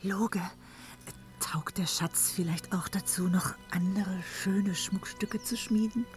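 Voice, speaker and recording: A woman's voice: mid-range voice, steady tone, slow pace, soft background music, close to an everyday voice. A woman playing an emotional goddess in a fantasy radio drama. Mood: coaxing.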